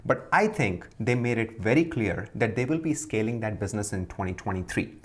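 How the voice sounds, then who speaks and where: A man's voice: fairly low pitch, expressive, a little fast. A man hosting a video, giving emphatic, scripted-sounding commentary on investing and stepping through it point by point. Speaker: stock commentary video host.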